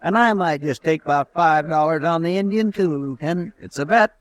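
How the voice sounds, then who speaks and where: An actor playing a calm, steady frontier marshal who speaks in an even, measured, dry way, in 1950s radio drama style. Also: male voice, a little high, no echo, lightly acted. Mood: wry.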